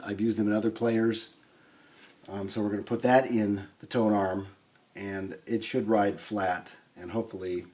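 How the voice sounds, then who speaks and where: A man hosting a hands-on repair video, explaining things informally and thinking aloud with hesitant pauses. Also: on the low side, a little mellow. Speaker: host of a record player restoration video. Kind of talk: casual hands-on demonstration.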